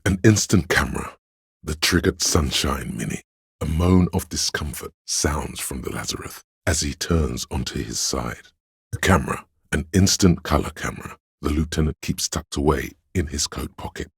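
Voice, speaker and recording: A man with a gravelly, husky voice, narrating a video game in a measured, solemn, literary and dramatic style. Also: rumbling low, dry recording, clean studio sound. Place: close, dry studio.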